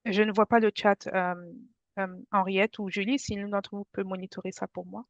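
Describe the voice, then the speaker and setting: A woman's voice: medium pitch, little pitch movement, normal speed, dry recording. A woman from France leading an online workshop for parents, speaking in a friendly, conversational way and addressing the audience directly. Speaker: workshop presenter. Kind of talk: informal webinar presentation to parents. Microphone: video call. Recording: dull, dry video-call audio.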